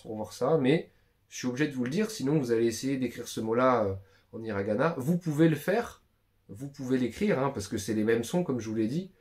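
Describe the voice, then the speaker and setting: A man giving a casual, slangy beginner language lesson to his viewers, chatting loosely and repeating himself as he explains. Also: medium pitch. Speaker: YouTube Japanese tutor.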